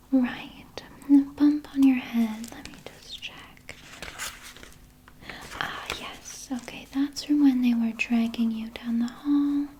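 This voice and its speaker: A woman playing a doting, quietly sinister vampire nurse in a gentle, breathy ASMR whisper, close to the microphone. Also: a little high, a little hiss or hum. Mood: gentle, chiding. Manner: slow, gentle, hushed, coaxing with veiled menace. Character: soft-spoken female vampire nurse, doting, politely sinister. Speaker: ASMR performer. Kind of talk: whispered ASMR personal-attention roleplay.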